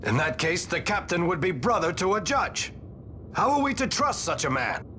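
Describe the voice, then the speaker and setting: An actor playing a suspicious, combative rebel arguing in a video game drama. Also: male voice, sits high, sing-song, normal speed, pushed and bright, slight background noise, full character voice. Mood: sceptical, heated.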